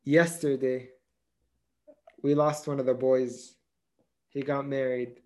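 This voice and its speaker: A man's voice: mid-range voice, level tone, slow, a little mellow. A man in his twenties co-hosting a podcast, telling a story animatedly with lots of fillers. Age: 20s. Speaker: podcast co-host. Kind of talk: casual chat.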